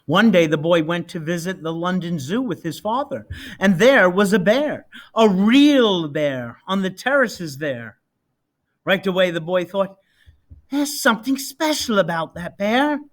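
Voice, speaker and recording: A man reading a children's picture book aloud expressively, with deliberate, dramatic emphasis on key words. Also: high voice. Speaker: storybook reader.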